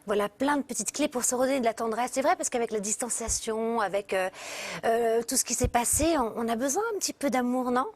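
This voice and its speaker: Woman host, slightly high voice, chatty and upbeat, lots of fillers. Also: brisk, a little mellow. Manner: chatty, enthusiastic, many fillers. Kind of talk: magazine show interview.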